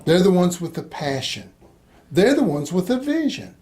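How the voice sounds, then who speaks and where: A man in his sixties hosting an interview in a relaxed, conversational way, with frequent restarts and easy laughter. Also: sits high, normal speed. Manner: rambling, hesitant restarts, laughs easily. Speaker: interviewer, missions organization host.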